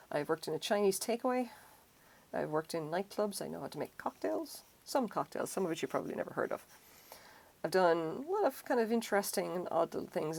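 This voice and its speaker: Middle-aged Irish woman, gravelly low voice, chatty and self-deprecating, crochet live stream. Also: a little mellow. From Ireland. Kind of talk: casual live-stream chat while crocheting.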